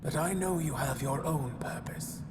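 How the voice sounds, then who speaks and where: An actress playing an ancient, solemn female giant in a game cutscene, stubborn and wise, speaking in measured, portentous phrases with cavernous reverb. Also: low-pitched. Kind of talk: dramatic game cutscene monologue. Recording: long cavernous reverb, noisy ambience.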